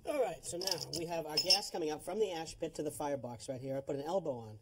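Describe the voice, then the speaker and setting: A man's voice: a little high, quick pace, heavy background noise. A middle-aged man giving a plain-spoken, instructive demonstration as a home improvement heating expert. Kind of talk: hands-on explanatory demonstration.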